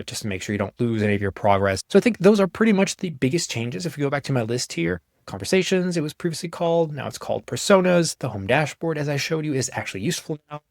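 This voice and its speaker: A young man giving a casual, conversational walkthrough of software he made, in an informal way. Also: medium pitch, vocal fry, no echo, clean studio sound. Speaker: app developer presenting his own software.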